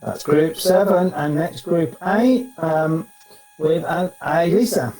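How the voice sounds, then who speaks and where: A man hosting an online event, introducing each coach in turn with brisk, upbeat remarks. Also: fairly high pitch, dark tone, reverberant, rough recording.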